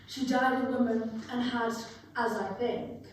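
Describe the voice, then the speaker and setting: Calm guiding spirit, measured and questioning, acted by a young woman, fairly high voice, far off in heavy echo. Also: slight background noise, natural voice. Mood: solemn, gentle. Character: calm, probing Christmas ghost guiding a miser. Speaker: youth stage actor. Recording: very echoey hall, distant mic.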